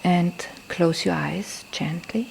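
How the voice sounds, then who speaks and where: Slow, creaky-voiced woman guiding meditation, non-native English. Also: lowish voice, poor audio. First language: not a native English speaker. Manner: gentle, deliberate, with pauses between short phrases. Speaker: meditation teacher. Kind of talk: guided meditation instruction. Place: temple in Thailand. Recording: faint background noise, live field recording.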